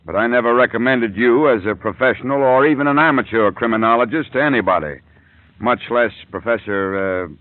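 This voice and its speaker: A man playing a gruff, impatient police commissioner in an old radio drama, blunt and authoritative. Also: medium pitch, brisk, dry recording, close to an everyday voice. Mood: irritated. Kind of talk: 1940s American radio acting. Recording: tinny dry old radio recording.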